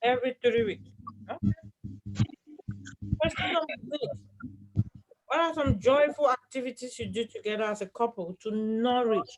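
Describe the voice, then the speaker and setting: A woman's voice: a little high, normal speed, long pauses. A woman hosting a couples panel at a live event, playfully putting questions and echoing answers back with exclamations. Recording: noisy live event recording with clicks.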